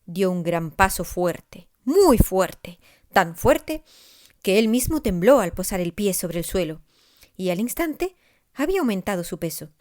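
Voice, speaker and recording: A woman narrating a scripted children's story expressively, with deliberate emphasis. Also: mid-range voice, sing-song, a little mellow. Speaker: author narrating her own story.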